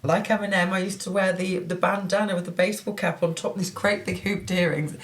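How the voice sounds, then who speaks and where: Deep-voiced woman, chatty. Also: steady tone. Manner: self-deprecating, chatty, quick laughs. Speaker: podcast co-host. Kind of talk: casual podcast chat.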